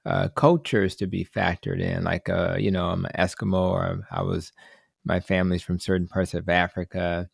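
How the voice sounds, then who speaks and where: A man's voice: low voice, little pitch movement, moderate pace, creaky, husky, no room sound, studio quality. A man hosting a podcast, asking thoughtful, hedging questions full of fillers. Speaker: podcast host. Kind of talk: interview questions.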